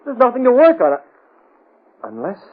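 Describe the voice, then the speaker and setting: Husky, animated crusading newspaperman. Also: extremely high pitch, moderate pace, exaggerated voice. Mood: frustrated. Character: determined crusading newspaperman with a secret vigilante identity. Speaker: actor. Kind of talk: 1930s radio drama acting. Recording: muffled, narrow-band old radio recording.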